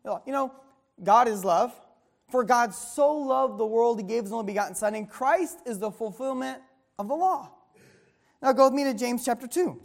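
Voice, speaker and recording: A woman preaching a sermon, reading scripture slowly and asking the listeners to fill in the words. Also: fairly high pitch, vocal fry. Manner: call-and-response questions, reading scripture slowly.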